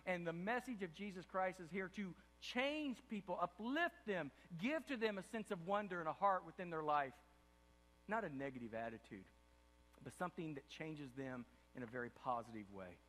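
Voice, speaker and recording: Impassioned high-voiced older pastor, reverberant hall. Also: male voice. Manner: impassioned preaching, repeats words, swings from loud to hushed. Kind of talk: sermon. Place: large dark church stage before an audience. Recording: long hall reverb of a big room.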